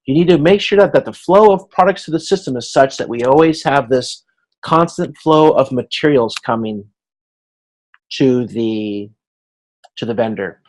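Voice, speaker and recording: A man teaching an informal online class, explaining step by step in a halting way and voicing hypothetical exchanges as examples. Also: medium pitch, animated, a little mellow. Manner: explanatory, halting, checks understanding, acts out hypothetical dialogue. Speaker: instructor. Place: video conference class.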